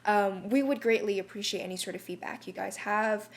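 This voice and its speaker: Calm young woman co-hosting a chat. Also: medium pitch, a little fast. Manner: calm, laughs often between phrases. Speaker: podcast co-host. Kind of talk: casual chat.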